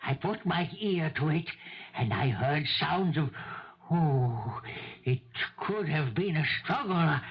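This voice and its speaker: Slow, husky, cagey old neighbor. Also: male voice, a little high, lively intonation, full character voice. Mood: nervous recounting. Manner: evasive, halting, repeats himself. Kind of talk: 1970s radio mystery drama acting. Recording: dull old radio broadcast recording.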